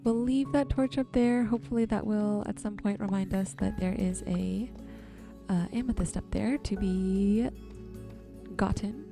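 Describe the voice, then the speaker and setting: A woman giving ASMR-style gameplay commentary, musing to herself in an unhurried way. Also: mid-range voice, creaky, over music. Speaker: game streamer hosting a relaxation video.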